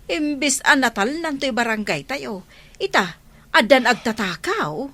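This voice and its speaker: Woman playing a forceful, worried villager. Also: high voice, big pitch swings, measured pace, heavily acted. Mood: concerned. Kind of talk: Ilocano radio comedy drama acting.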